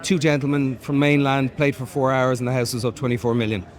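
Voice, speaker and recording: A man with an ordinary mid-pitched voice talks loosely and candidly. He swears and laughs easily as he tells stories. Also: flat delivery, brisk. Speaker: actor. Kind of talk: premiere interview.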